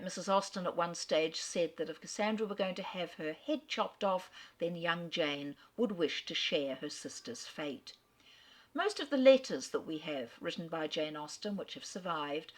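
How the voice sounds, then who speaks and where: A woman with a fairly low voice gives a literary talk, unhurried and articulate. She tells history like a story and drops in the occasional small filler. She is from Australia. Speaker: speaker on famous authors. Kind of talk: lecture-style literary talk.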